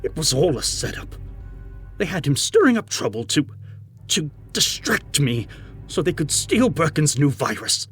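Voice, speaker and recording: A man's voice: a little high, big pitch swings, a little slow, husky, soft background music, exaggerated voice. A man playing an unhinged, furious and self-pitying corrupt older man, snarling with theatrical menace in an audio drama. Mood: paranoid anger. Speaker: voice actor in a fan audio drama. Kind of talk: dramatic villain acting.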